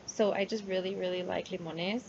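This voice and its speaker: A woman's voice: on the low side, steady tone, moderate pace, a little mellow, a little hiss or hum. Young woman, Spanish first language, college student; chatty class self-intro on a muffled webcam mic. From New Mexico, United States. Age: young adult. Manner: chatty, many fillers and "like"s. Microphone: laptop webcam mic.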